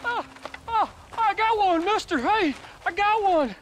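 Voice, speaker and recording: An actor playing an excitable old frontier hunter in a video game, rambling and shouting. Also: male voice, very high voice, a little slow, heavy background noise. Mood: excited. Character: frantic old male frontier hunter, wild-eyed. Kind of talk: video game cutscene acting.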